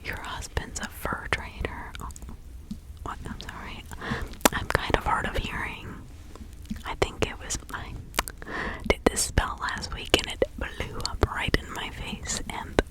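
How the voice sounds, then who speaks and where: Woman playing a slow, whispering older witch. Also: close to an everyday voice, poor audio. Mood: curious, slightly confused. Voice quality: breathy whisper. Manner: slow, halting, soothing, confiding. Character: kindly older village witch, 1650s, chatty and sympathetic. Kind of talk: ASMR roleplay.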